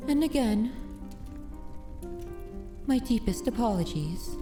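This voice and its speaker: A woman's voice: fairly high pitch, expressive, music in the background. A woman voicing a centuries-old noble vampire mistress in an ASMR roleplay, speaking formally with courtly, commanding and wistful poise. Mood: courteous. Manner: formal, unhurried, aristocratic phrasing. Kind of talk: ASMR roleplay audio drama.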